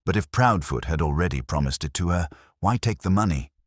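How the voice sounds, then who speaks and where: A man reading as an earnest, husky young sergeant. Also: deep voice, lively intonation, brisk, no echo, studio quality. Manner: earnest and questioning.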